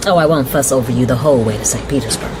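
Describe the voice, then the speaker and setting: An actress playing a desperate, pleading young woman on the run, with a breathy, hushed delivery in a radio drama. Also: very deep voice, rapid-fire. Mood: relieved, confiding. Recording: noisy radio drama with music behind.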